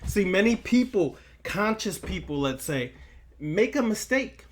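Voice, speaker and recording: Guy doing an occult lecture, really slow, lots of pauses, kinda higher voice, repeats stuff to drive it home. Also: very animated. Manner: deliberate, halting phrases with long pauses; emphatic repetition of key lines. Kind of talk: esoteric lecture, preaching to listeners.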